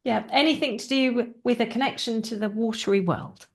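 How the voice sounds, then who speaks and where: A woman's voice: on the high side, fairly quick. A woman co-hosting a podcast, chatting casually, laughing easily, and teasing her co-host in a friendly way. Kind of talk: casual banter.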